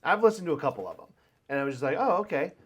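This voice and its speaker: A man's voice: on the high side, sing-song, racing, breathy edge. A man co-hosting a podcast in casual chat, rambling through stories and acting out phone calls in quoted voices. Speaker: podcast co-host.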